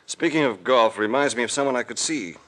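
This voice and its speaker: An actor playing a wry, tough private investigator in a 1940s radio mystery. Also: male voice, mid-range voice, fairly quick, a bit bright, natural voice. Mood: wry, brisk. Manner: wry, hard-boiled. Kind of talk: 1940s radio acting, first-person detective narration. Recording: noisy vintage radio drama recording.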